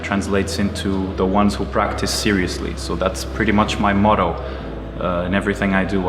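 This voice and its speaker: Portuguese-born man, 30s, earnest interviewee; roomy echo with clear music bed. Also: fairly low pitch. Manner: measured, earnest, with frequent uh pauses. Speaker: karate teacher and entrepreneur. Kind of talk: interview answers. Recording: roomy echoey space with music behind. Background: music playing under the voice.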